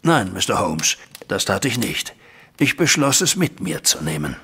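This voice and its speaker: Audio drama banker: anxious, formal, wordy, with a crisp forward tone and some fry. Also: male voice, low voice, sing-song, unhurried, pushed and bright, heavily acted. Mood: earnest, uneasy. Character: anxious, respectable male banker recounting his troubles. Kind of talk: audio drama acting, narrating a flashback.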